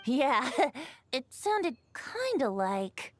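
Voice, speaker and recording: A boyish young bard, light and high, telling tales in a breezy, playful lilt that moves freely up and down. It is video game acting, with soft music underneath. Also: female voice, very animated, very slow, exaggerated voice. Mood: amused storytelling. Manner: playful storytelling with chuckles. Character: youthful male bard, playful, breezy, wistful storyteller.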